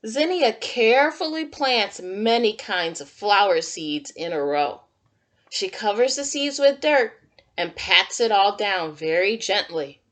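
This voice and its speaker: A woman's voice: mid-range voice, expressive, measured pace, fairly bright tone. A woman teacher reading a picture book aloud to young children, adding simple, encouraging comments and questions as she goes. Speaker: kindergarten teacher.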